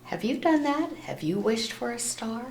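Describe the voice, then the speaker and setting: A woman's voice: on the high side, very animated, normal speed, darkish tone, a little hiss or hum. A woman reading a children's storybook aloud expressively, with simple storytelling and little asides to listeners. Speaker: storybook reader. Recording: echoey room.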